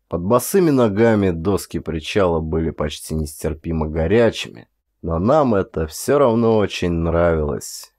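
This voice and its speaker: A man from Russia narrating an audiobook story in a dramatic, expressive reading, lifting his voice for quoted speech. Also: low voice.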